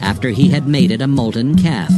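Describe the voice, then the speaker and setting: A man's voice: mid-range voice, expressive, a little fast. A man narrating dramatized scripture in a solemn storytelling manner. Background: loud music.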